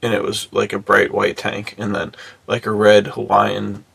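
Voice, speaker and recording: A man's voice: fairly low pitch, monotone, a little slow, gravelly, a bit bright, breathy edge, dry recording. A man hosting a podcast in casual chat, talking in a laid-back, slangy way with lots of "dude". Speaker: podcast host.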